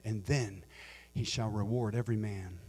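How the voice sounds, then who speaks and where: A middle-aged American man preaching a Pentecostal sermon in a church sanctuary on a handheld mic, his delivery swelling from quiet scripture reading to near-sung, shouted appeals. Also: lowish voice, fairly even intonation, normal speed, slight background noise. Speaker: pastor. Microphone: handheld microphone.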